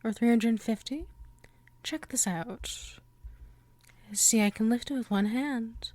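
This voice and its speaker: A woman's voice: a little high, takes it slowly, a little mellow, no echo. A woman playing a coy, possessive female vampire in an ASMR roleplay, coaxing the listener with chatty, teasing politeness. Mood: playful, showing off.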